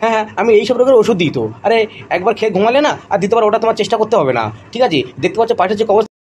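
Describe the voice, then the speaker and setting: A man plays a crooked cartoon quack doctor who brags and blusters. The voice is high for a man and races along very quickly, with some noise behind it. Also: darkish tone, close to an everyday voice. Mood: boastful. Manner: bragging, blustering. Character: boastful shady quack doctor, male, comically crooked. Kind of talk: comic cartoon dialogue.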